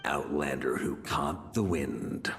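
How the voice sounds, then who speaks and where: An actor playing a seasoned, self-assured warrior knight in a video game, musing to himself without hurry. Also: male voice, very deep voice, slow, a bit bright, faint music behind, exaggerated voice. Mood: calm, musing. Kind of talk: scripted game dialogue.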